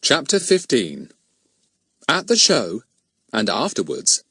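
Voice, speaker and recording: A man's voice: medium pitch, huge pitch swings, slow pace, sharp tone, breathy edge, no echo, studio quality. A man reading a children's story as an audiobook narrator, in scripted narration.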